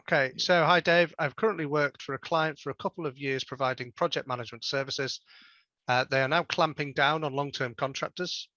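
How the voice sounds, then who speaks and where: A man's voice: on the high side, brisk, pushed and bright, no echo, lo-fi sound. A man hosting a webinar, politely reading out audience questions and thanking the speaker. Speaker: webinar host.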